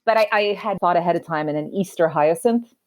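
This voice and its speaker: A woman telling stories as a podcast guest, animated and quick to laugh. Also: lowish voice. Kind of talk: casual chat.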